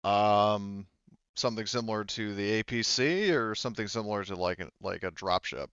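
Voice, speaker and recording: A man's voice: on the low side, moderate pace, a bit bright, clean tone, no echo, dull audio. A man running a tabletop roleplaying game as game master, talking casually and hesitantly as he thinks aloud.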